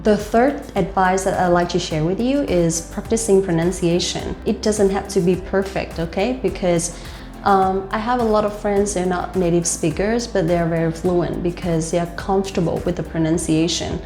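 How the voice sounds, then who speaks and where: A woman's voice: fairly low pitch, fairly even intonation, moderate pace, darkish tone. A young woman with a Vietnamese accent gives casual speaking tips, talking loosely with many "like" fillers. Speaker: host and life coach. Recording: roomy with faint music.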